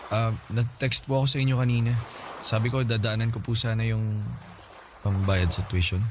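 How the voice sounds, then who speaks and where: A timid young Filipino nephew played by a man speaks in a fairly low voice. He is hesitant and respectful in radio drama style, with clear background noise. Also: flat delivery, moderate pace, close to an everyday voice. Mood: shy, polite.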